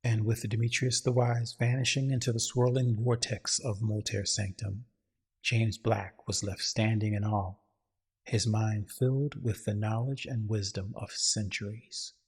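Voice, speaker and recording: A husky-voiced man reading a dramatic scripted story in a solemn, grandiose way. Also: on the low side, steady tone, a little slow. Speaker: narrator.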